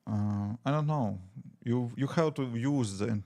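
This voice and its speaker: A man's voice: mid-range voice, unhurried, mellow. A man from Latvia speaking English with a Latvian accent, chatting casually on a live coding stream and thinking aloud with many fillers. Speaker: app developer streaming live. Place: home desk.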